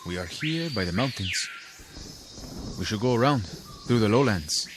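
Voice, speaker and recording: An actor playing a level-headed, skeptical rebel comrade, reasoning calmly in a radio drama. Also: male voice, fairly low pitch, background noise, close to an everyday voice. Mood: cautious. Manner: measured, reasoning. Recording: clear insect and jungle ambience.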